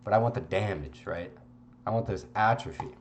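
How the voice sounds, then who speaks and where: A congested young man talks through a video game build in a loose, rambling way, swearing now and then. His voice sits low, and faint room sound is behind him. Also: brisk, a little hiss or hum. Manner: relaxed, rambling, swears casually. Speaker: game streamer. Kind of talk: casual gameplay commentary.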